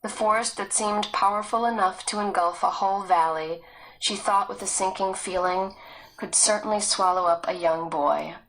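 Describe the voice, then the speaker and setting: Female narrator for scripted children's fiction, medium-pitched, storytelling delivery. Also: normal speed, fairly bright tone, poor audio. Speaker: audiobook narrator.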